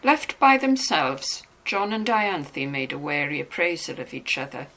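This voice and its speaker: A woman's voice: sits low, measured pace, fairly bright tone, rough recording. A woman reading scripted narration from a novel.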